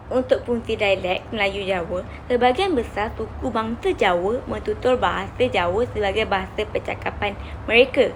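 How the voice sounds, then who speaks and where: A woman's voice: on the high side, a little hiss or hum, poor audio. A young woman with a Malaysian accent formally reading out a scripted student presentation. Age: young adult. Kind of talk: scripted presentation read aloud.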